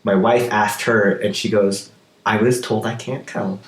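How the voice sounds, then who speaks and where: A man's voice: lowish voice, fairly even intonation, unhurried, reverberant, low-quality recording. A man co-hosting a podcast in casual chat, nasal and sore-throated from a cold. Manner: chatty, restarts sentences. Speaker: podcast co-host.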